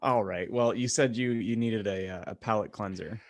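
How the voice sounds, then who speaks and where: A man's voice: lowish voice, normal speed, dry recording. A man co-hosting a podcast in casual, conversational chat. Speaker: podcast co-host.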